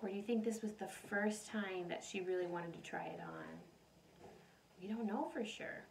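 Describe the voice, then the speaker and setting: A woman reading a storybook aloud to children in an expressive, animated way. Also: medium pitch, measured pace, slight background noise. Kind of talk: expressive storybook read-aloud with questions to listeners. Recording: roomy echo, distant mic.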